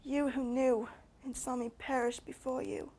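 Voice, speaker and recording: A very high, monotone female voice, a woman acting a forsaken lover lamenting from beyond the grave. The delivery is drawn-out and anguished, as in a stage poetry reading. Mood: anguished, mournful. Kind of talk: dramatic poetry reading by drama student.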